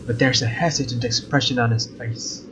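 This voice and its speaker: A man's voice: mid-range voice, fairly even intonation, moderate pace. A young man doing a let's play, reading a visual novel aloud and adding casual commentary. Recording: dull lo-fi mic, faint noise.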